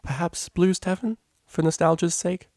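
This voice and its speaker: Storybook mare cellist, prim and fond, male reader lifting into a high register, dry close recording. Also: steady tone, moderate pace, darkish tone, no room sound, lightly acted. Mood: composed, friendly. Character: prim female pony cellist, indignant yet fond.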